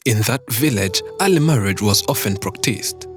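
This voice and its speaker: A man with a fairly low voice reads documentary narration from a script. His delivery is steady and measured. Soft music plays underneath. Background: background music.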